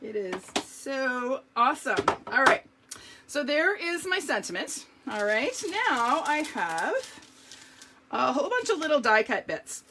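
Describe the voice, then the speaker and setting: A woman showing card-making step by step in a live video, chatty and talking to her viewers, her voice slightly hoarse. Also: high-pitched, sing-song, a little slow, noisy background. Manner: chatty, explains each step, talks to viewers. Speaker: craft demonstrator.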